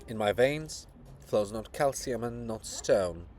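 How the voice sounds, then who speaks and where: A man's voice: mid-range voice, lively intonation, slow, darkish tone. A man voicing a proud, cold princess monument, guarded and grandiose, in a solemn, declamatory dramatic reading. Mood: proud, bitter.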